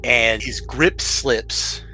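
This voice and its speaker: A man's voice: mid-range voice, drawn-out words, pushed and bright, soft background music, poor audio. A man acting as game master, narrating a tabletop roleplaying game casually and jokingly, often laughing as he talks. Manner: jokey, laughs often while talking.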